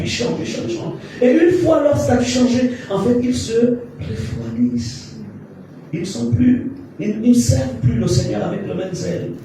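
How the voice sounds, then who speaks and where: A man's voice: very high-pitched, background noise. A man in his fifties preaching a sermon, leading the congregation in call-and-response with emphatic repetitions. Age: 50s. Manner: emphatic, repeats phrases for the congregation to echo. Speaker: pastor. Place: church hall. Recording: echoey church hall, distant mic.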